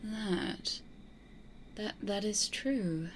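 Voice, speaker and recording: A woman playing a stubborn, possessive vampire in an ASMR roleplay, speaking in a dry, hushed way. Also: mid-range voice, drawn-out words, slight background noise. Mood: hesitant, wary.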